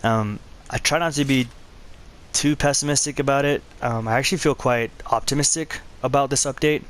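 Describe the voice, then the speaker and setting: A man with a hoarse, worn-out voice hosting a casual gaming vlog, talking in a laid-back, conversational way. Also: medium pitch, steady tone, moderate pace. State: hoarse, losing his voice. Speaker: channel host.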